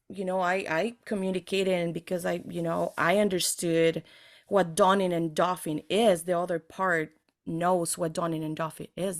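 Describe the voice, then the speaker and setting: Woman with a creaky voice. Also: fairly low pitch. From El Salvador. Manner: animated, illustrates points with imagined dialogue. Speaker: podcast guest, safety consultant. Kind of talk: conversational podcast discussion.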